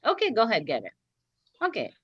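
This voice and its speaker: A woman teacher reading a story aloud to young children online, explaining as she goes, repeating phrases and asking questions in an animated way. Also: medium pitch, quick pace, husky. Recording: roomy, dull lo-fi online class audio.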